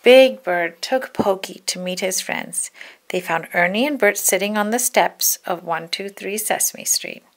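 A woman reads a story aloud for children. Her mid-pitched voice has plenty of creak in it. She tells it expressively and deliberately, leaning on key words. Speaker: storybook read-aloud narrator. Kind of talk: scripted children's story narration.

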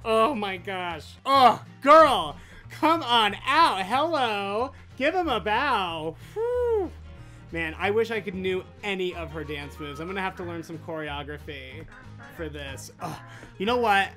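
Male host, late 20s, American. Theatrical, gushing delivery with lively swings in pitch, chatting to camera. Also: measured pace, soft background music. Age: late twenties. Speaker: host of a toy unboxing show. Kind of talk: excited unboxing chat to camera. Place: small room full of toy shelves, indoors. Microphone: desktop USB condenser microphone.

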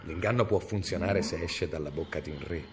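An actor in an Italian radio drama playing a cold, cunning medieval inquisitor with a measured, formal, severe delivery. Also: male voice, low-pitched, darkish tone. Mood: cold, calculating. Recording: noisy radio drama recording.

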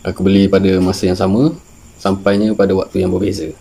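A Malaysian man in his thirties chatting casually and haltingly as an informal vlogger, often searching for words. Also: low-pitched, little pitch movement, vocal fry, warm tone, husky. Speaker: fishing channel vlogger. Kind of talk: casual unboxing chat. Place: small room indoors with guitars on the wall.

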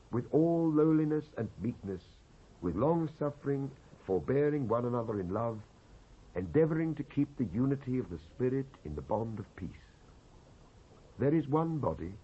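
A British man giving a solemn, declamatory dramatised scripture reading in measured phrases with pauses. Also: mid-range voice, husky. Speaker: Bible reader, actor. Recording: dull lo-fi cassette transfer.

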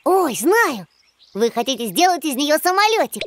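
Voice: sits high, sing-song, normal speed, a little hiss or hum. An actor voicing a cheerful, helpful little boy panda in a children's cartoon, eager and friendly. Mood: cheerful, excited. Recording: voice over prominent background music.

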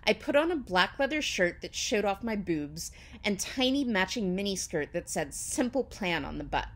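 A woman's voice: medium pitch, sing-song, moderate pace, fairly bright tone. Woman acting out a petulant, melodramatic teenage goth girl. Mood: self-important. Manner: overwrought, indignant, emphatic. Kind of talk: dramatic reading of amateur fan fiction.

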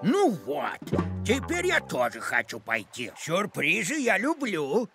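A man's voice: high voice, wildly swooping pitch, takes it slowly, a bit bright, full character voice. A man voicing a grumbling, reluctant cartoon crocodile with a blunt, whiny manner. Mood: won over. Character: grumbling, reluctant crocodile, easily won over. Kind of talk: children's cartoon acting. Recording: music behind the voice.

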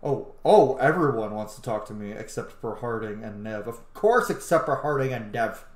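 A man in his thirties doing excitable, casual game commentary and reading game text aloud, swearing freely. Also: medium pitch, normal speed. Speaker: streamer playing a video game. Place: small indoor room. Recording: roomy, echoey home recording.

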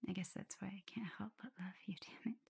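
A woman voicing a shy, affectionate young omega werewolf in an intimate ASMR roleplay, whispering softly and tenderly with gentle teasing. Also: medium pitch, steady tone, quick pace, heavily acted. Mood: fond, teasing. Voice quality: soft, breathy whisper.

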